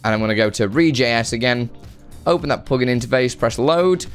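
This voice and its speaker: A man hosts a software tutorial in an informal, chatty way. His voice sits at an average pitch, close on a desk microphone, with faint music underneath. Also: brisk. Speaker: tutorial host. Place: home room with guitars, indoors. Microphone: close desk microphone on boom arm.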